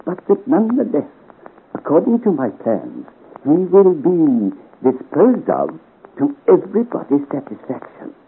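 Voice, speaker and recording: An actor playing a scheming, deferential French duke in a 1950s radio serial, speaking in a courtly, persuasive way. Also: male voice, on the high side, wildly swooping pitch, breathy edge, full character voice. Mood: confident, sly. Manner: courtly, deliberate, persuasive, with calculated hesitations. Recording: scratchy, tinny 1950 radio serial recording.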